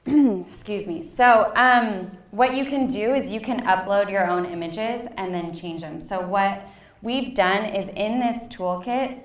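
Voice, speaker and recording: Loud, casual woman presenter, mid-range voice, echoey training room. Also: medium pitch, slow. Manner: chatty, frequent ums, loud voice. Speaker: workshop presenter. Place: meeting room with a live audience. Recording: roomy echoey hall sound.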